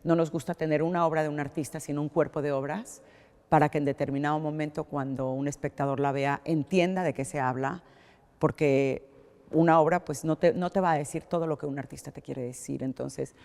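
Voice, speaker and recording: A woman's voice: sits low, fairly even intonation, brisk, a little mellow. A middle-aged woman with a Mexican accent answering interview questions, thoughtful, often restarting phrases. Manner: thoughtful, with many restarts and fillers. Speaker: art collector. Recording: long hall reverb.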